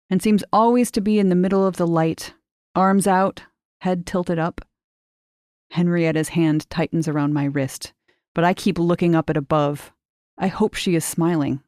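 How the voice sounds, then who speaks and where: A woman with a low voice narrates short fiction. Her delivery is measured and expressive, captured close and dry, with no room sound. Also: no echo. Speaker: short story reader. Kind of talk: scripted literary narration.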